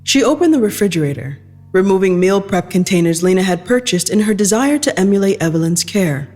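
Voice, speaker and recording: A woman narrates scripted drama at a middle pitch. Her delivery is measured and deliberate, and music plays softly behind her. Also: expressive.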